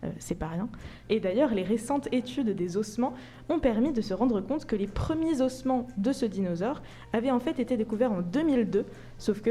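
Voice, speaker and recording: A young woman presenting a podcast science segment, chatty and quick to laugh at herself. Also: medium pitch, mellow. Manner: chatty, laughs easily, self-deprecating asides. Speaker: podcast columnist.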